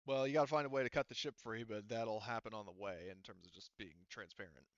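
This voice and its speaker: A man's voice: fairly low pitch, fairly even intonation, fast talker, dry recording, muffled recording. A man running a tabletop roleplaying game as game master, talking casually and thinking aloud as he narrates and explains rules.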